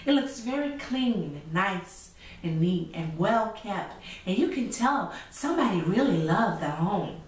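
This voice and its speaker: Expressive woman storyteller in an echoey room. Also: medium pitch, a little slow. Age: middle-aged. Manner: expressive, addressing children directly. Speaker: storyteller and children's show host. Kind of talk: children's story reading with asides. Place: indoor room with chalkboard backdrop. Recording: echoey room from a distance, faint noise.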